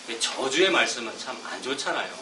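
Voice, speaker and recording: A middle-aged man with a cold preaching a sermon, chatting casually between slow scripture readings. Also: medium pitch, lively intonation. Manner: conversational, self-deprecating asides between slow scripture reading. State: has a cold, coughing. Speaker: pastor. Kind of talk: expository sermon. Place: church sanctuary. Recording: roomy, distant mic with background noise.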